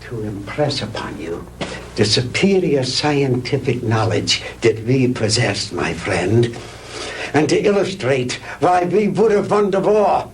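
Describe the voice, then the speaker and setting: Slow, pompous mad scientist. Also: male voice, mid-range voice. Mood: smug, superior. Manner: pompous, lecturing, theatrical deliberate pauses. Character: proud, vengeful mad scientist from a defeated wartime nation. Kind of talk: 1960s B-movie sci-fi acting. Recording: distant, noisy old 1960s film soundtrack.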